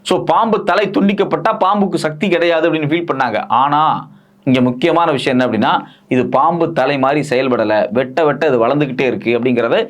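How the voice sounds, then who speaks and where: A man's voice: fairly high pitch, fast. A man with an Indian Tamil accent giving news analysis, explaining emphatically with repeated phrases and rhetorical questions. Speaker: political commentator.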